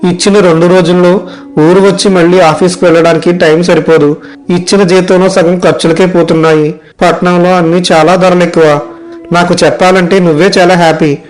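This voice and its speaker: A man playing a weary, worried young software worker and dutiful son in a story dialogue, plaintive and complaining. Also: high-pitched, level tone, fairly quick, close to an everyday voice, low-quality recording. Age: young adult. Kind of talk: animated moral story dialogue.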